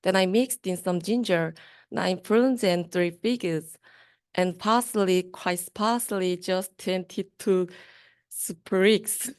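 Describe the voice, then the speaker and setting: A young woman reads a rhyming children's book aloud at a slow pace. Her voice is clear and smooth, and the recording is close and dry. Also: lowish voice, no room sound. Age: young adult. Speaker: student reader.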